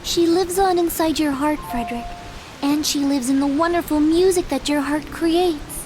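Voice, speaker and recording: A woman voicing a kind, calm fourteen-year-old girl in a game cutscene, speaking gently and earnestly. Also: sits high, moderate pace, over music, close to an everyday voice, poor audio. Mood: consoling. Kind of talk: video game cutscene dialogue. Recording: hissy game audio capture.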